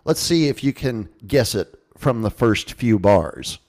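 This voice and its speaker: A man's voice: medium pitch, lively intonation, a little slow, a little mellow. Informal male podcast host. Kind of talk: casual radio show chat.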